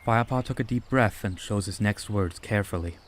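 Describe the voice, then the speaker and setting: A man's voice: lowish voice, brisk. A man reading scripted story narration as an audiobook narrator.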